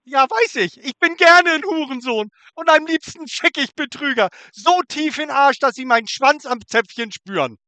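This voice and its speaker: A man with a high, bright, sharp voice mocks and taunts a caller in crude terms. He is on a prank phone call from his car in a forest. The sound is dry and close. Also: lively intonation, moderate pace, few pauses, dry recording. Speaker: scam baiter.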